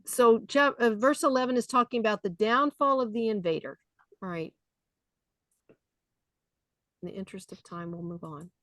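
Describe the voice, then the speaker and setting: A woman's voice: a little high, moderate pace, pauses often, fairly bright tone, dry recording. A woman leading a study group, reading scripture aloud and explaining it conversationally. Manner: conversational, with fillers between readings.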